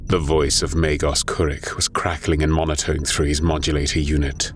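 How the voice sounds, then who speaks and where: Very deep-voiced male story narrator. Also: level tone, normal speed, noisy background. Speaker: narrator and voice performer. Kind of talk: dramatic scripted audio-story narration.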